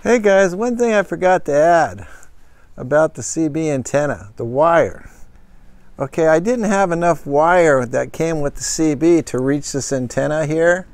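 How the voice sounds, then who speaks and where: A man's voice: a little high, lively intonation, no echo. A man casually walking through how he fitted gear into his pickup and camper, talking plainly with lots of fillers. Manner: plain, unhurried, homespun phrasing with fillers. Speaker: vlogger showing his truck camper. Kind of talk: casual show-and-tell walkthrough. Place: in and around a pickup truck.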